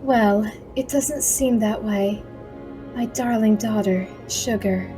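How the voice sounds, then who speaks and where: A woman in an anime-style fan dub playing a gentle parent, speaking tenderly and deliberately. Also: mid-range voice, faint music behind, lightly acted. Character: gentle parent of a young guardian girl.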